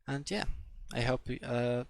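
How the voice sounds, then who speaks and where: Gravelly-voiced Polish man teaching code. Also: mid-range voice, little pitch movement, measured pace, no room sound. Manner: hesitant, many fillers and restarts. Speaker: coding instructor. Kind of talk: live-streamed coding tutorial.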